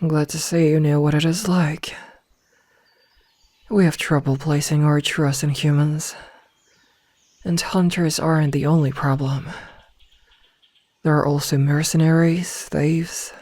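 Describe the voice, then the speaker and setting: A woman playing a possessive werewolf from a pack, smitten yet threatening, with deliberate, partly whispered delivery in an ASMR roleplay. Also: sits low, steady tone, mellow, dry recording. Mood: softened, possessive. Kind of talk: ASMR roleplay audio drama. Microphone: close, dry microphone.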